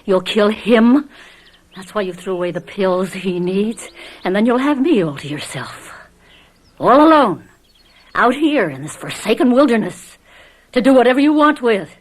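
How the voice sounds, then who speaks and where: An actress playing a defiant, determined wife desperate to escape, speaking with urgent, accusing insistence in an old radio mystery drama. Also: female voice, mid-range voice, lively intonation, normal speed, natural voice.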